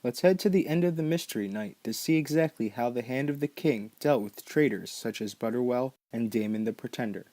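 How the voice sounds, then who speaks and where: Man, mellow relaxed voice, scripted explainer narration, series host, close dry recording. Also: medium pitch, a little fast, few pauses, dry recording. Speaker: podcast host narrating a book lore series.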